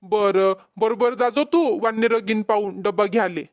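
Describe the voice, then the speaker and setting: A woman's voice: medium pitch, moderate pace, close to an everyday voice. A woman voicing a concerned, reasoning village farmer husband in a cartoon comedy, with a Vidarbha Marathi accent. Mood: calm. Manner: placating, explaining, gently chiding.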